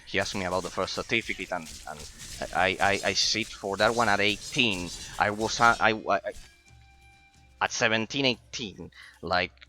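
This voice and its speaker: A young streamer whose first language is Spanish talks with his viewers over faint game music. His voice sits somewhat low but sounds bright and forceful. He often stumbles and repeats words before getting a sentence out. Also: normal speed. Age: 20s. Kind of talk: casual chat with live viewers while gaming.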